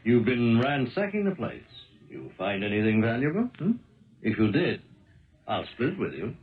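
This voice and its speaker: A man's voice: medium pitch, very animated, normal speed, lightly acted. An actor playing a suave, teasing theater man in a 1970s radio drama, talking in a wry way. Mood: amused, suspicious. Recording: muffled, distant old radio recording.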